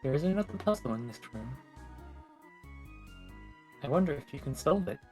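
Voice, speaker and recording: A person voicing a gentle motherly female guardian from a fantasy game, reading the dialogue in a formal, measured, tender way. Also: mid-range voice, lively intonation, soft background music, natural voice. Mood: kind, encouraging. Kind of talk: video game dialogue read aloud.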